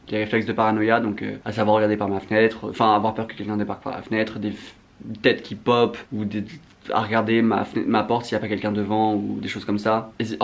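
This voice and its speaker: A young man with a French accent from France, chatting candidly to viewers in a slangy, self-mocking, confessional vlog style. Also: lowish voice. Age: young adult. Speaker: vlogger.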